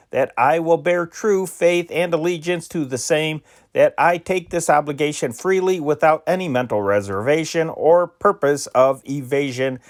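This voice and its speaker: A man reading old newspaper news dispatches aloud in an amateur style, with occasional slips and restarts. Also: a little high. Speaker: reader of historic news dispatches.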